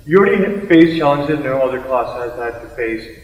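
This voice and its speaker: A man's voice: mid-range voice, lively intonation, moderate pace. A young man student performer reciting a scripted readers theater piece. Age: young adult. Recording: echoey hall, faint background noise.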